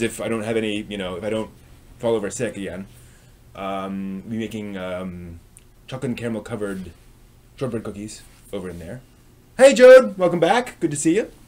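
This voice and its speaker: A man's voice: mid-range voice, darkish tone. A man hosting a live stream, chatting casually and ramblingly as he responds to viewers. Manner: rambling, reacting to chat as it comes.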